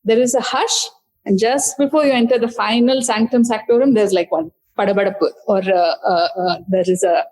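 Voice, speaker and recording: A woman author talks about her book. She speaks in a thoughtful, unhurried way, often pausing, restarting and searching for words, at a middling pitch. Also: darkish tone, rough recording. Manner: thoughtful, many fillers and restarts. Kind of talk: conversational book discussion.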